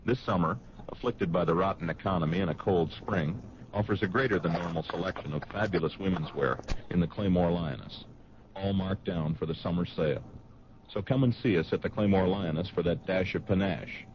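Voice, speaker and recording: Male commercial announcer, deep register, scripted sales read, dull old broadcast recording. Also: fairly quick. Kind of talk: scripted radio advertisement read. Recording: muffled, noisy old radio broadcast recording.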